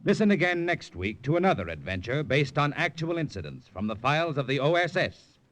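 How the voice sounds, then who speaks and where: A man's voice: on the high side, sing-song, a little fast, very noisy. An American man delivering dramatic scripted narration as the announcer of a 1950s radio show.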